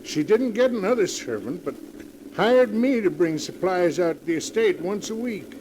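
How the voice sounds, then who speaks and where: A man's voice: sits high, animated, moderate pace. A man playing a folksy, hesitant village cab driver with rustic grammar, in 1940s radio drama style. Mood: matter-of-fact. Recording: noisy old 1940s radio recording.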